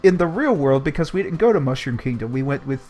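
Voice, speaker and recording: A man's voice: a little high, lively intonation, fast talker, warm tone, soft background music. A man reading a storybook aloud and breaking off into casual commentary as host. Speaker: host and reader of a book club livestream.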